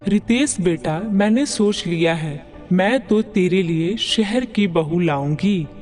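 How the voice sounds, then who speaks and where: Man voicing bossy high-pitched village mother-in-law. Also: slow pace, darkish tone, soft background music. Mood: boastful, eager. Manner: boastful, scolding, rustic village talk. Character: proud, bossy middle-aged village mother-in-law woman. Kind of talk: Hindi cartoon moral story dialogue.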